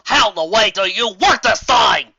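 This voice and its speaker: A performer voicing a gruff, impatient country farm mare with a growling, folksy, half-shouting delivery. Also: medium pitch, animated, normal speed, dry recording, voice pushed up, heavily acted. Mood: irritated growl.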